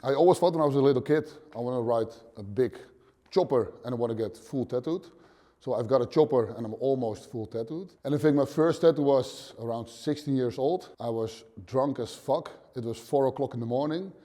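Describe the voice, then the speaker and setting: Thirties Dutch man, gym owner, blunt halting interview talk, mellow dark voice, dim gym. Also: mid-range voice. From Netherlands. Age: 30s. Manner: plain, blunt, swears casually, short halting phrases. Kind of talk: casual interview answers.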